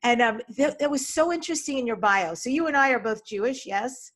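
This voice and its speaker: A woman's voice: on the high side, brisk, a bit bright. Middle-aged woman, podcast host, upbeat and eager, desk mic on a video call, home room. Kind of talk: podcast interview. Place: home room with folding screen. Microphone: studio desk mic in front, video call.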